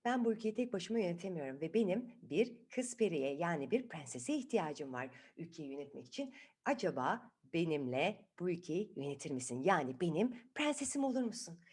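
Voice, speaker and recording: Bir kadın, çocuk masalındaki nazik, yumuşak huylu genç bir peri prensini seslendiriyor. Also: female voice, lowish voice, big pitch swings, fairly quick, darkish tone, husky. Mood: courting. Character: gentle young male fairy prince, polite, courting. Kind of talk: children's storybook voice acting.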